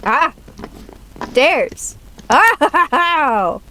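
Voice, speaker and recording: A woman's voice: high-pitched, big pitch swings, drawn-out words, sharp tone, a little hiss or hum. A woman streamer chatting casually while she plays a game. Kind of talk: casual live-stream chat.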